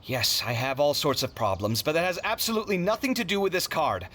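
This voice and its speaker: A man playing a proud, generous young man in an anime video game, casual and theatrically expressive. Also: on the high side, fairly quick. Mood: dismissive.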